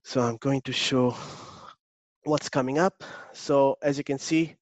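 A man's voice: medium pitch, fairly even intonation, measured pace, dry recording. A man from Portugal with a strong Portuguese accent, presenting a remote workshop as an agile coach, polite and hesitant with many fillers. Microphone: computer mic on video call.

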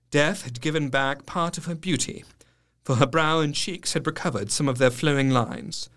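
An actor playing a sorrowful, earnest doctor who narrates a gothic tale in measured, literary phrases. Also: male voice, fairly high pitch, a bit bright. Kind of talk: dramatic scripted narration.

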